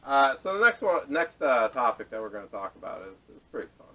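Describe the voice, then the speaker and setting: A man hosting a casual podcast discussion, speaking haltingly with repeated words, picked up by a distant camera microphone. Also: mid-range voice, big pitch swings, bright, forceful tone. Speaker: podcast host. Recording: distant, salvaged camera audio.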